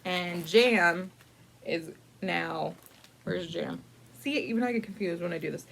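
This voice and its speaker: A woman's voice: fairly low pitch, vocal fry, a bit bright. Playful woman narrating a picture book. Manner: playful, wry asides with mock-dramatic commitment; conversational, frequently pausing to think aloud. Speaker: library storyteller reading a picture book to adults. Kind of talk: casual, improvised picture-book storytime narration.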